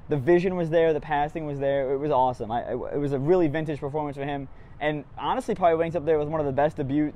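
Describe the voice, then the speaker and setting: A man's voice: a little high, a little mellow. A young man giving post-match soccer commentary to camera as a fan vlogger, recorded outside a stadium on a lapel mic. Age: young adult. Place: outdoors on a street outside a stadium. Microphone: small lapel mic clipped to shirt. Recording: outdoor street ambience with clear background noise.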